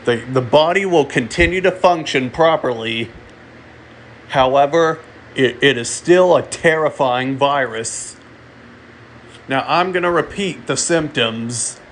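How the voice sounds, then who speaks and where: Guy doing a fake emergency broadcast, slow n halting, repeats himself, bit of room hum behind him. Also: medium pitch, a bit bright. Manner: halting, repeats and restarts phrases. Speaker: amateur narrator of a fictional emergency broadcast. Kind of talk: mock emergency alert announcement, semi-improvised.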